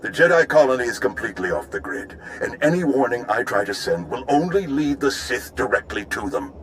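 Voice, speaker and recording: An actor playing a courtly, earnest Republic general in a game cutscene, speaking in a measured, formal way. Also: male voice, mid-range voice, big pitch swings, fairly bright tone, slight background noise, rough recording. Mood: urgent, earnest. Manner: measured, formal, polished delivery. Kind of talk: scripted game cutscene dialogue.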